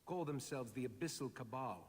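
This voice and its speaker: A stately master mage speaks in a measured, formal way, wary of an old threat. The voice is a touch husky. A long reverb trails each line, as in a video game cutscene. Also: male voice, mid-range voice, expressive. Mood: grave. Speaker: quest-giver in a video game.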